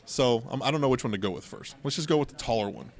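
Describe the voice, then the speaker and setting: Middle-aged American male podcast host, mid-pitched, casual raconteur who wanders into asides. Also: quick pace. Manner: conversational, digressive, frequent asides. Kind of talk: casual storytelling and banter. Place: local game store.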